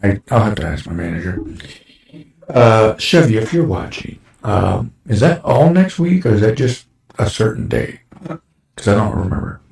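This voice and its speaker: A man in his forties, hosting a casual chat show, his voice a little raspy from dust, rambling along with lots of fillers. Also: fairly low pitch, lively intonation, moderate pace, darkish tone. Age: 40s. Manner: rambling, with many fillers and restarts. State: slightly raspy from breathing dust. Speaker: show host. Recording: roomy echo.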